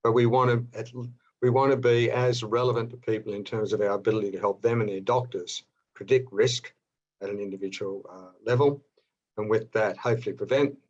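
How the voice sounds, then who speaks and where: A middle-aged Australian man with a fairly low voice speaks as an executive on a panel. He thinks aloud, pausing and reworking his sentences as he goes. The audio is somewhat dull and lo-fi. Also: darkish tone. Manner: halting and discursive, often rephrasing mid-sentence. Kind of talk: unscripted panel talk.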